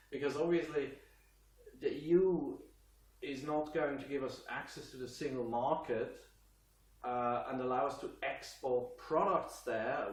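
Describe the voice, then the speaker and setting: A man with a Swiss accent talks straight to camera, earnest and unpolished, filling pauses with "uh" and "um". His pitch sits in an ordinary middle range. He sounds set back from the mic in a roomy, echoey space. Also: far from the mic. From Switzerland. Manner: earnest, uses many fillers and pauses. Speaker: commentator explaining politics. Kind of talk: direct-to-camera explainer talk.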